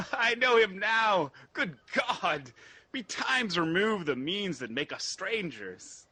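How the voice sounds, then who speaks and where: A man playing an earnest exiled prince in a Shakespearean radio drama, declaiming verse with a husky voice. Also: high voice, big pitch swings, sharp tone, heavily acted. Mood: surprised, welcoming. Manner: deliberate, declamatory verse delivery. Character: Malcolm, earnest exiled Scottish prince rallying for war.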